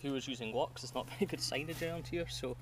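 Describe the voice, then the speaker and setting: A man's voice: mid-range voice, fast talker, far from the mic, background noise. Chatty Scottish man in his thirties. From Scotland. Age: 30s. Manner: chatty, laughs often, trailing fillers. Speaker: podcast guest. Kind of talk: casual chat while gardening.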